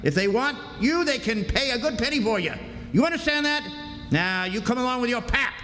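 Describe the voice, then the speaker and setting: A man's voice: very high voice, lively intonation, brisk, bright, forceful tone, heavily acted. An actor playing a sneering, greedy old backwoods father who scolds in a folksy rustic drawl, in live radio drama style. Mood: threatening. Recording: echoey hall, live stage, hissy background.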